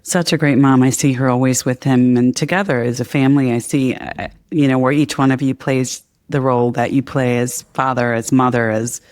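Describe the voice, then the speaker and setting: A man's voice: medium pitch, steady tone, fairly quick, hardly any pauses, darkish tone, clean tone, no room sound, clean studio sound. A middle-aged man hosting a podcast, interviewing in a casual, conversational way.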